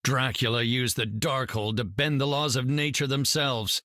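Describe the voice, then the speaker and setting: A man's voice: mid-range voice, steady tone, moderate pace, sharp tone, no echo, lightly acted. Guy doing an earnest scientist hero in a game, mid voice, dry sound.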